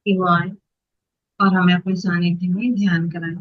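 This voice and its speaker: Meditation leader: level, little pitch movement, short phrases with long pauses, dry but dull lo-fi capture. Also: little pitch movement, dry recording. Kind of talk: guided meditation instruction.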